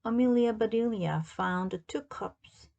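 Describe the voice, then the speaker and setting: A woman reading a children's story aloud as narrator, in a deliberate, expressive storytelling manner. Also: on the high side, vocal fry, dull audio. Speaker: storybook reader.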